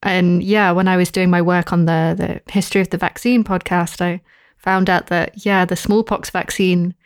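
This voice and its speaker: A woman speaking as a podcast guest, thinking aloud in a halting way with restarts and fillers. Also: fairly low pitch, fairly even intonation, clear, resonant tone, no echo, clean studio sound. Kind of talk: casual podcast discussion.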